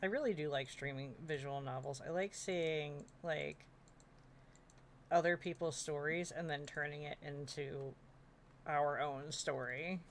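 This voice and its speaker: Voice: mid-range voice, fairly even intonation, a little slow. Casual streamer chatting over faint background noise. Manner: informal, mild swearing. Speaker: panelist and streamer. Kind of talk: casual chat.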